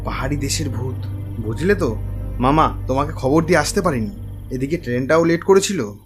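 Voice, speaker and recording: A man voicing a hushed, nervous young guide. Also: expressive, a little fast, faint music behind, lightly acted. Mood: whispering. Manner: speaks in a low hushed voice.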